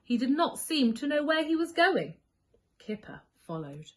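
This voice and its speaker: Animated woman reading stories to children. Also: high-pitched. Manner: emphatic, drawn-out key words for children. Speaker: school storyteller. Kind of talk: expressive children's story reading.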